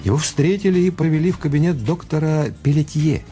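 A man narrating a detective radio play in a measured, dramatic way with deliberate pauses. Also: fairly high pitch, warm tone. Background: music.

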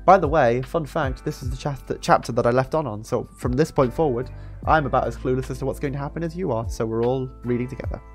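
A man in his twenties in a chatty, expressive livestream storytelling style, speaking close to a studio microphone in a small room. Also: mid-range voice, quick pace. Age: 20s. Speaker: streamer reading a book aloud. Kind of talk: storytelling and casual livestream chat. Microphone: close studio microphone on an arm, with headset. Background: background music.

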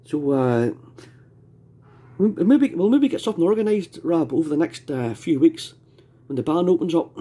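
A Scottish man with a husky voice, chatting casually as an amateur radio operator. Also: on the high side, animated, normal speed, dark tone. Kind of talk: casual two-way radio chat. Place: indoors at a home radio desk.

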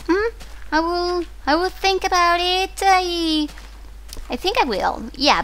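A young woman whose first language is Italian talks over her gameplay in a loose, rambling way, full of restarts and fillers, with a clear Italian accent. Her voice sits a little high, and a faint hiss runs under the recording. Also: a bit bright, low-quality recording. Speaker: gaming video host. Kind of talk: casual commentary while playing.

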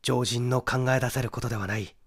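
A man voicing a methodical, assured young amateur detective in an audiobook, with deliberate, emphatic explanation. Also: medium pitch, steady tone, a bit bright, breathy edge. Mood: grave.

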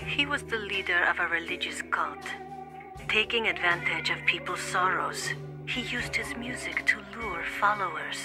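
A woman's voice: fairly low pitch, close to an everyday voice. A woman voicing a cool, sly blonde handler of an assassin contest in a video game cutscene. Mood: hushed, secretive. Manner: coolly detached, sly.

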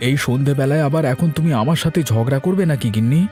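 A man's voice: medium pitch, fast talker, a little mellow, natural voice. A man voicing a middle-aged, querulous but homely village uncle and husband in a cartoon story. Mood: grumbling. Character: grumbling, hospitable village uncle, bickering husband. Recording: background music bed.

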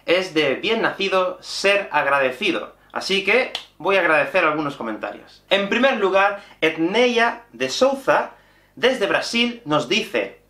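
A man, teaching in an animated online lesson. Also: sits high, unhurried. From Spain. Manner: animated, gestures constantly while explaining. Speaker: Spanish teacher. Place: small room with bookshelf and whiteboard. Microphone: camera at mid-shot distance. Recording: echoey small room.

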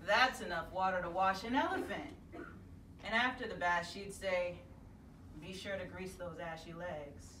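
A middle-aged woman reading a poem aloud in a measured, expressive way, giving voice to a mother's sayings. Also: mid-range voice, slight background noise. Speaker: poetry reader. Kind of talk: slow poetry reading. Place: gallery room, indoors. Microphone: far camera. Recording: echoey room, distant mic.

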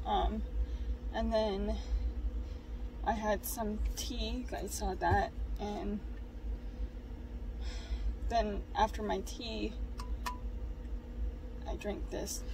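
A woman's voice: medium pitch, level tone, drawn-out words, long pauses, distant mic, background noise. A woman in her twenties chatting casually to her vlog audience from a parked car, rambling and hesitant with lots of ums. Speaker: vlogger. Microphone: phone camera propped low in the car.

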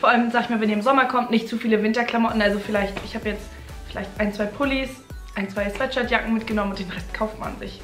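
A woman's voice: fairly high pitch, fairly even intonation, fast, fairly bright tone, echoey room, faint music behind. A young woman vlogging in a home living room, chatting casually and hesitantly with many fillers. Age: young adult. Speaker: au pair vlogger. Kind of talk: casual chat.

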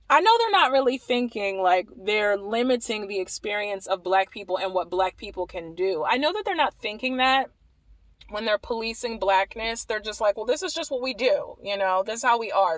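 A young woman from Maryland giving animated, wry commentary to the camera, repeating phrases for emphasis. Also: a little high, dry recording. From Maryland, USA. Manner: animated, wry, repeats herself when emphasizing, laughs at points. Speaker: vlogger. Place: small indoor room against a plain wall.

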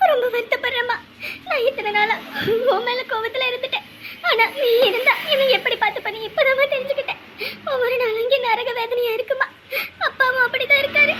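A tearful young village girl, voiced by a woman, sobbing and pleading as she grieves. The voice is very high and she talks through tears. Her words come out broken by crying. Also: noisy background. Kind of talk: one-person village family skit.

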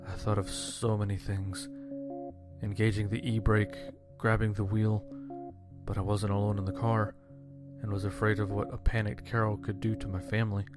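A man narrating a scripted first-person horror story in a measured, dramatic way. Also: on the low side. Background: music.

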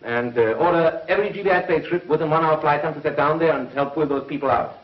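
Actor as fast, commanding 1950s space commissioner. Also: male voice, a little high, recorded from a distance, lightly acted. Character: brisk, commanding middle-aged male space agency commissioner. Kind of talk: 1950s TV serial acting. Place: studio control-room set. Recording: dull, muffled 1950s TV soundtrack.

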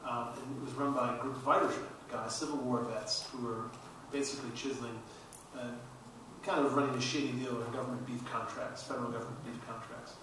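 A man's voice: mid-range voice, level tone, brisk, background noise. A middle-aged man explaining as a filmmaker on a panel, engaged and often restarting his phrases. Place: large auditorium stage. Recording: very echoey hall from far off.

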